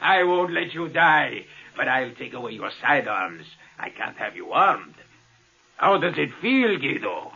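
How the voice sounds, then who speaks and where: A bitter power-mad villain in an old 50s radio play, tense raspy voice, taunting, muffled sound. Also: male voice, mid-range voice, very animated, measured pace, sharp tone, heavily acted. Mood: gloating, menacing. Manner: gloating, taunting, theatrical. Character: resentful, power-hungry man menacing his adoptive brother. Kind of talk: 1950s radio drama acting. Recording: muffled 1950s radio drama recording.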